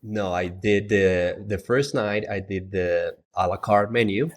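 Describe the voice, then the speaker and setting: A man's voice: fairly low pitch, steady tone, measured pace. A man from Colombia with a Colombian Spanish accent, a native Spanish speaker, talking in a casual podcast chat with many fillers and restarts. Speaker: travel agent, guest.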